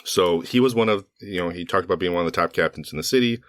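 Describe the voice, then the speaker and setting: A man co-hosting a podcast in casual chat, his voice slightly hoarse, rambling with fillers and self-corrections. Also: sits low, fast, fairly bright tone, dry recording. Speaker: podcast co-host.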